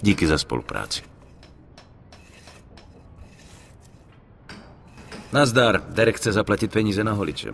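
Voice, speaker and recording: Low-voiced tough young veteran. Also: male voice, very animated, creaky, a bit bright, a little hiss or hum, heavily acted. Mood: businesslike. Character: tough, plain-spoken young male war veteran turned gangster. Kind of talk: dubbed crime game cutscene acting.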